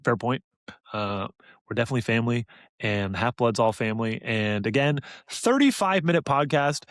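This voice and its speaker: A man's voice: medium pitch, lively intonation, moderate pace, no echo. A man co-hosts a podcast in a loose, conversational way. His delivery is informal and full of joking banter, like someone chatting with a close friend. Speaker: podcast host. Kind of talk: casual podcast chat.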